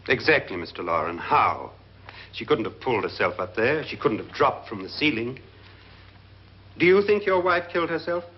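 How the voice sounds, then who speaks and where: An actor playing a grave, suspicious guest in a haunted house, speaking formally and deliberately in 1950s horror film style. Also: male voice, mid-range voice, sing-song, lightly acted. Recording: muffled 1950s film soundtrack.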